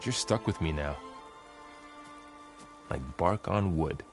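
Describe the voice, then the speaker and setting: Lowish-voiced loyal warrior companion. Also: male voice, slow. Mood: earnest, devoted. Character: loyal, steady warrior companion, plainspoken, curious. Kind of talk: video game cutscene and exploration dialogue. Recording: cinematic game music behind. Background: game music.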